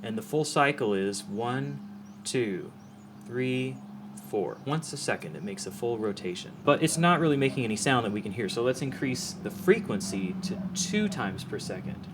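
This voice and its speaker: A man's voice: mid-range voice, lively intonation, moderate pace, noisy background. A man giving a casual, hands-on explanation as an audio professional, speaking informally and often checking that the listener follows. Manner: informal, demonstrative, checks understanding. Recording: music playing behind the voice.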